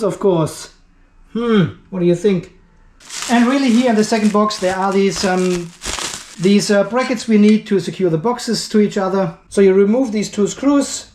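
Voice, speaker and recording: Guy w high voice, reviewing gear hands-on, thinks out loud, echoey room. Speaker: video host and product reviewer. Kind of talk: unscripted hands-on product review commentary.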